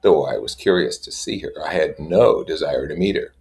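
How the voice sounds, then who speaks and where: A man reading literature aloud in a measured way, with casual explanatory asides. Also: rumbling low, vocal fry, darkish tone. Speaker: reader and commentator.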